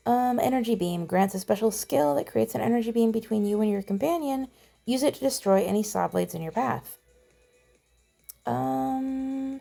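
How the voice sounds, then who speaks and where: A woman's voice: fairly high pitch, gravelly, warm tone. A woman giving casual game commentary, chatty and playful, reading on-screen text aloud. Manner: chatty, mild playful exclamations. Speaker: gamer voicing a playthrough.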